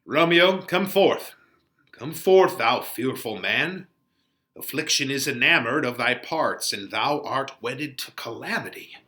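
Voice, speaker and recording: A man voices an older friar in a Shakespeare play, a patient counsellor who gets exasperated. He speaks slowly and solemnly, admonishing like a father. The tone is sharp and forceful. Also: medium pitch, lively intonation, voice pushed down. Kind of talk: dramatic Shakespeare reading.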